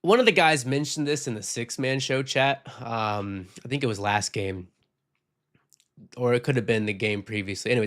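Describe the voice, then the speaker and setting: A man's voice: medium pitch, a bit bright. Man with a tired, sick voice. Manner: frank, rambling, reads viewer comments aloud. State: sick, losing his voice. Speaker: podcast host. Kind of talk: casual postgame chat reading comments.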